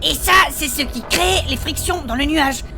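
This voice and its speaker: A woman acts as a spirited young female adventurer in a comic fantasy audio drama. Her voice sits high, and she talks in a cheeky, colloquial way. Clear music plays behind her. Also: moderate pace. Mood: explanatory.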